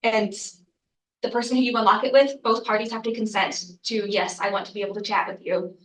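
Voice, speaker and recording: A woman's voice: medium pitch, fairly even intonation, a little fast, roomy sound, distant mic, low-quality recording. A young adult woman, congested from a cold, giving an earnest student research presentation with frequent ums and uhs. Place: lecture room.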